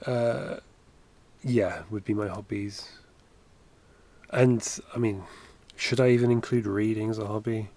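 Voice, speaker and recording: A man's voice: fairly low pitch, steady tone, a little slow, warm tone. A man in his thirties chatting in a rambling, self-correcting way while drawing on a livestream, recorded on a headset at home. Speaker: animation streamer. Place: home studio, indoors.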